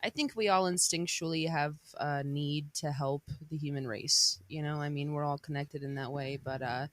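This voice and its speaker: A young woman, born in Bosnia and raised in Colorado, chatting casually as a podcast guest, relaxed and hesitant with many ums. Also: low-pitched, normal speed, no echo. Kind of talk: casual conversation.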